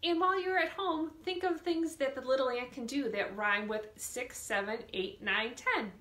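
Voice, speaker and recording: High-voiced woman reading to kids, echoey room. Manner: expressive, deliberate reading with drawn-out words and questions to children. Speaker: children's librarian hosting storytime. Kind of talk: read-aloud picture book storytime for young children.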